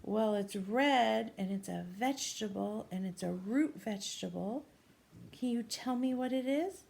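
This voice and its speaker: A woman doing an interactive read-aloud for young children, gently asking questions and pausing for answers. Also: on the high side, vocal fry. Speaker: teacher reading a picture book to young children. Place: small room at home, indoors.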